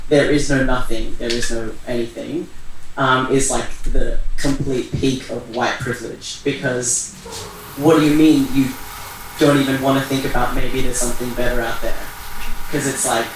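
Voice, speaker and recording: Echoey, halting young man, far from mic. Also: medium pitch. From Iran. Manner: halting, searching for words. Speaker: panel speaker. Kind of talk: casual personal reflection at a community talk. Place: echoey event venue. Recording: very echoey room, distant mic, faint noise.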